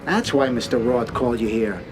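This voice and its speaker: An actor playing a brash, streetwise gangster sidekick in a video game cutscene, talking in loose slang. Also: male voice, mid-range voice, a little fast, noisy background, natural voice. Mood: brash, chatty. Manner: slangy, animated.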